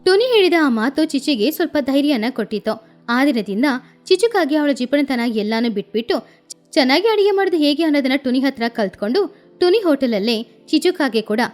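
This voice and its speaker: A woman narrating a children's story in an animated storytelling style. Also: high voice.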